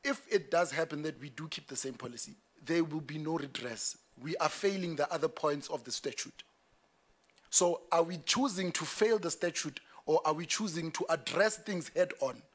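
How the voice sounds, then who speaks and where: A man's voice: on the high side, normal speed, fairly bright tone, husky. A man making a rhetorical, pointed comment at a university debate. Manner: code-switching, rhetorical questioning. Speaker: student speaker. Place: university meeting room.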